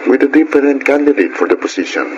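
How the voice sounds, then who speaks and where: A man's voice: medium pitch, expressive, quick pace, lo-fi sound, a little hiss or hum, natural voice. A man playing a polite, formal, businesslike job interviewer in a radio drama.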